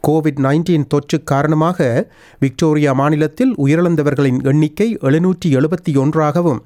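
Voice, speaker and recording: Higher-pitched man reading formal news. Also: mellow. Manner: formal, measured. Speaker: news presenter.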